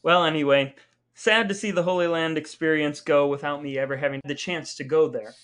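A man's voice: a little high, steady tone, brisk, a bit bright, clean tone. A man co-hosting a casual podcast with his brother, telling stories wryly and reading the outro. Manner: wry, playful storytelling, reads outros. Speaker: podcast co-host. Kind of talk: casual chat with scripted segments.

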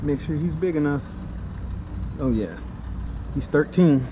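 Man with a Florida accent, kayak fishing host, unhurried drawl, slightly high voice, dull action-cam audio with clear water noise. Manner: slow, explanatory, excited when fish bite. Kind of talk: casual on-the-water commentary. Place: kayak on water under a pier, outdoors. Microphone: action camera mounted on kayak.

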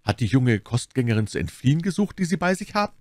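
A man voicing a gentle, curious abbess in an audiobook, speaking politely and formally with solicitous care. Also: medium pitch, sing-song, fast talker, no echo, clean studio sound, voice pushed up, natural voice. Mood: puzzled. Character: abbess, gentle, curious, sympathetic pious woman. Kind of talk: audiobook character dialogue.

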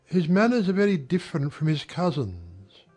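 A charming young army officer from a period audiobook, acted by a man, speaks in a fairly high male voice. He is formal and smooth, probing and insinuating beneath a gentle surface. Faint music plays behind him. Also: sing-song, normal speed. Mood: feigned casual, probing. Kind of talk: dramatized audiobook acting of a period novel.

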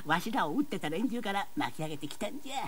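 A woman's voice: mid-range voice, lively intonation, rapid-fire, lightly acted. A woman playing an eccentric, feisty old man with pompous, old-fashioned phrasing in a dramatised audiobook. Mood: matter-of-fact. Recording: music behind, cassette drama.

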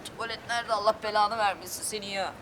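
A woman's voice: medium pitch, steady tone, quick pace. A woman in a comedy sketch speaking bluntly and impatiently. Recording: noisy background.

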